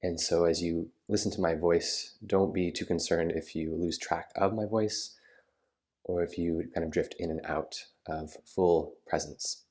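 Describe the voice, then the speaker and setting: A man with a low-pitched voice leads a guided relaxation practice. His intonation stays very level and steady. He phrases things soothingly and without hurry, leaving pauses between instructions. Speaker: yoga nidra meditation guide. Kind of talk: guided meditation.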